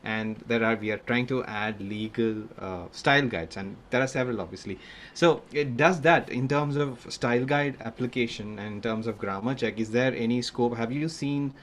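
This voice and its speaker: A man's voice: mid-range voice, moderate pace, a bit bright, a little hiss or hum. A man hosting a podcast, asking interview questions in a hesitant way full of fillers and self-corrections. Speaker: podcast host.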